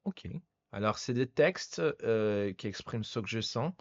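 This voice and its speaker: A man's voice: lowish voice, animated, measured pace, no echo, lo-fi sound. A man speaking French as a second language, chatting casually as a podcast host and stopping often to search for words. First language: not French. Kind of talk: casual conversation.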